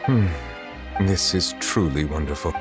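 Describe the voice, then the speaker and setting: Deep, grave game judge in a reverberant hall. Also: male voice, animated. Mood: calm, reserved. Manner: formal, measured, deliberate. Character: grave, courteous, dignified male chief judge. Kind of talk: scripted video game dialogue. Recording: long reverb, music behind.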